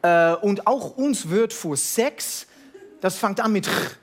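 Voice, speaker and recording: A man's voice: sits high, expressive, slow. A Dutch-speaking man, a cabaret performer, joking in German and chuckling through his routine. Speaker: cabaret artist. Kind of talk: comedy stand-up.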